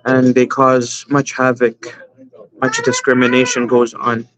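A man's voice: medium pitch, completely flat delivery, fairly bright tone. A man from Canada, an imam speaking as a guest in an interview, answering earnestly with many fillers over a video call. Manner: earnest, measured, with frequent fillers. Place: home room, indoors. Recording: dull lo-fi video call audio.

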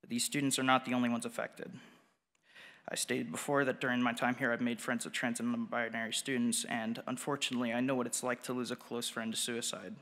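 A man's voice: medium pitch, flat delivery, brisk, a bit bright. A young man reading a prepared speech aloud at a podium microphone in a large auditorium. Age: teens or young adult. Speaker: student public speaker at a school board meeting. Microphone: gooseneck podium microphone. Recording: long hall reverb.

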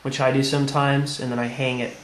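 A man's voice: medium pitch, level tone, a little fast. A man giving a casual hands-on how-to demonstration, explaining plainly step by step. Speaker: tutorial presenter. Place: indoors at a tiled tabletop. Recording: echoey room, background noise.

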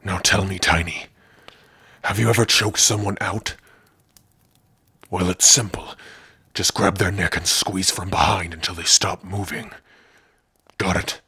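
A man playing a big, gruff orc with a rough, husky voice, teasing yet secretly soft-hearted, in an ASMR roleplay. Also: deep voice, steady tone, unhurried, pauses often, no echo, full character voice. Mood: gruff, commanding. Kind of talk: ASMR roleplay addressed to the listener.

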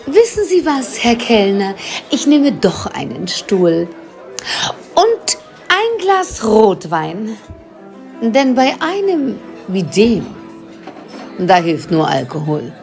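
A woman plays a bossy old witch in a comic audio drama. She scolds and snaps impatiently, with a very lively pitch that rises as she nags. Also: takes it slowly, faint music behind, exaggerated voice. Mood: snappish.

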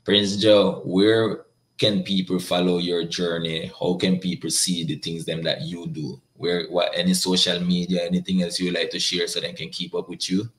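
A young man from Nicaragua with a very low, deep voice hosts a video call interview. He asks his questions in a relaxed, friendly way. He sounds casual and at ease. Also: moderate pace. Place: indoor room.

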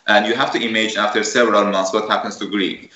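Iranian man in halting, echoey English. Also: on the low side, steady tone, bright, forceful tone. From Iran. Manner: halting non-native English, searching for words. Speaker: refugee guest. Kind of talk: video call interview. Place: room with bookshelves. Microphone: headset. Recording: echoey room, dull lo-fi video call audio.

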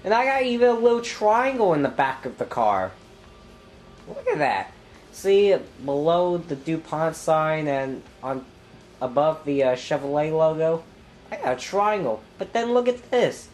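A young man with a stuffy nose and a clogged throat, commenting casually on his own racing game and thinking aloud with ums and sudden loud exclamations. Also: on the high side, sing-song, measured pace, faint music behind. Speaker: gameplay commentator. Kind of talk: casual let's-play commentary.

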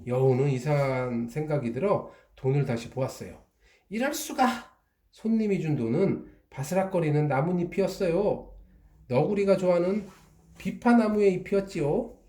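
Man, storybook narrator; soft, warm, fairly high voice. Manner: slow, gentle, drawn-out reading. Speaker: father reading to his children. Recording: echoey room.

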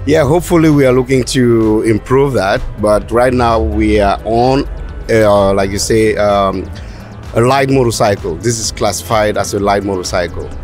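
A man's voice: fairly low pitch, moderate pace, darkish tone, soft background music. A man at a busy indoor expo hall giving short, hesitant answers about a motorcycle. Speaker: exhibitor. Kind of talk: casual interview answer.

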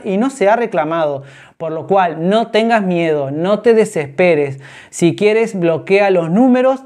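A man's voice: high voice, a little mellow. Earnest man in his thirties. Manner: earnest, explanatory, gestures with hands. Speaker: personal finance vlogger. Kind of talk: direct-to-camera advice. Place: small indoor room, orange wall.

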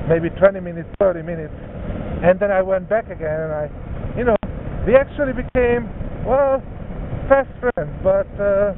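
A man in his thirties telling a long story while riding a scooter, rambling and correcting himself as he goes. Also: high voice. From Hungary. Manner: rambling, self-correcting, conversational. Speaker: motovlogger. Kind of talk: casual storytelling monologue. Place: riding a scooter on city roads outdoors. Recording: tinny narrow-band audio with faint road noise.

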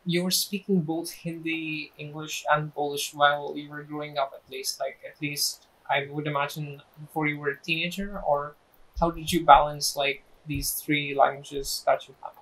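A young man hosting a casual podcast interview from a small office on a lapel mic, asking friendly, measured questions with some fillers. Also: a little high, little pitch movement, fairly bright tone, low-quality recording. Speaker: podcast host.

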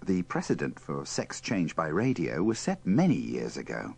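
Very deep-voiced man, wry scripted narration. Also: wildly swooping pitch, normal speed, vocal fry, a little mellow, husky. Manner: wry, wordy, tongue-in-cheek. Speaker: presenter. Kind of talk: scripted narration introducing radio bloopers.

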